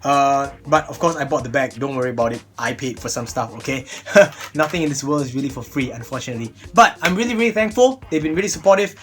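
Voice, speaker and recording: A man's voice: mid-range voice, quick pace, few pauses. A young man, hosting a casual product review vlog, chatty and upbeat with lots of repeated "really, really", filmed seated at a desk in a small room. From Singapore. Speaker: host of a toy blaster review channel.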